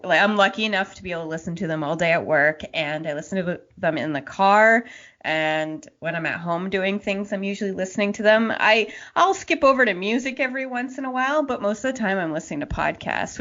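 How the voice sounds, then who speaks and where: A woman with a fairly low voice hosts a casual conversation. Her delivery is informal and conversational. The recording is a little dull and lo-fi. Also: fairly bright tone. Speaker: podcast host. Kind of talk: casual chat. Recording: dull lo-fi podcast audio.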